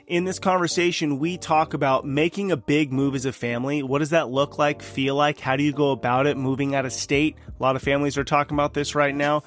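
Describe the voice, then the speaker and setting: A man's voice: a little high, steady tone, a little fast, hardly any pauses, fairly bright tone. A man in his forties hosting a podcast, friendly and upbeat, in easy casual chat. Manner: upbeat, friendly, laid-back. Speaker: podcast host.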